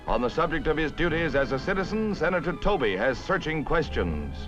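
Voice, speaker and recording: A male American newsreel narrator from the 1950s with a highish, very animated voice. He delivers the script in punchy, dramatic fashion, on a dull old soundtrack with music behind him. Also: brisk.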